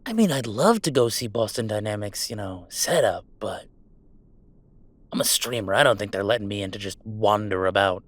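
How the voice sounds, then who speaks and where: A man's voice: lowish voice, expressive, moderate pace, no room sound. A man playing a goofy young werewolf streamer in an intimate roleplay audio drama, talking in casual, mock-grandiose banter with small hesitations. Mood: teasing banter. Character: goofy young male werewolf streamer, playful, defiant about family. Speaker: game streamer.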